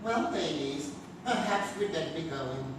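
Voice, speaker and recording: A woman's voice: deep voice, expressive, unhurried. A woman playing a mild, hesitant, proper male asylum superintendent in a live radio comedy. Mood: prim, hesitant. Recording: echoey stage, distant mic, faint noise.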